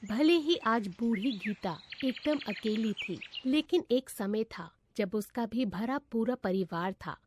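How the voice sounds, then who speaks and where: A woman narrates a scripted moral tale as storyteller. Her voice is fairly high, with faint noise behind it. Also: expressive, slow, hardly any pauses.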